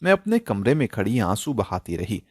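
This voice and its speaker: A man narrating a scripted dramatic story in an emotional, expressive style. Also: on the low side, moderate pace, breathy edge, no room sound, studio quality.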